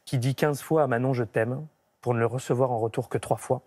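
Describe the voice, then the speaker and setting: A man's voice: mid-range voice, fairly even intonation, brisk, a little mellow. A man in his thirties, an opera tenor, answering questions reflectively in a TV studio interview, often restarting his sentences. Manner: thoughtful, reflective, with frequent restarts. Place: TV studio set. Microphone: broadcast mic, medium shot.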